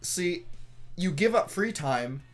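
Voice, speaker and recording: A young man co-hosting a podcast in casual banter, with an animated, joking manner and plenty of swearing. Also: sits high, takes it slowly, faint music behind. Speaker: podcast co-host.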